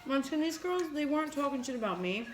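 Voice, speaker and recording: A woman's voice: high-pitched, expressive, fast talker. A woman chatting casually over food, retelling conversations in quoted back-and-forth and swearing now and then. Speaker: mukbang co-host. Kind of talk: casual chat while eating. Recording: noisy room sound.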